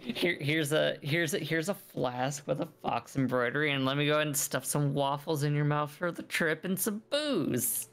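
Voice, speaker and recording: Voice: medium pitch, moderate pace, fairly bright tone. A player in a tabletop game group, chatting casually and teasing the game master. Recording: faint music behind.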